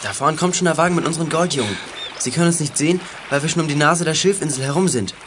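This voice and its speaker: A male actor playing a level-headed boy detective in a children's radio play, speaking in crisp radio procedure. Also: fairly high pitch, fast, noisy background, lightly acted. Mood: calm, reassuring.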